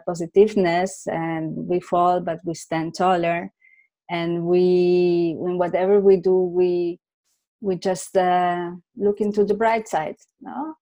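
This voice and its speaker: A woman hosts an interview in a reflective way, often ending her thoughts with a questioning tag. Her voice is low and mellow, recorded dry and close. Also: dry recording. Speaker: host. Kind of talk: conversational interview.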